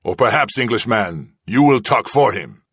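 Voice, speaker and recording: A man's voice: on the low side, animated, normal speed, vocal fry, a bit bright, breathy edge, no room sound, clean studio sound, full character voice. A man voicing a cruel, sneering commander who taunts a prisoner in a mocking, singsong way. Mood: menacing mockery. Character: cruel sneering Spanish commander, menacing, toying.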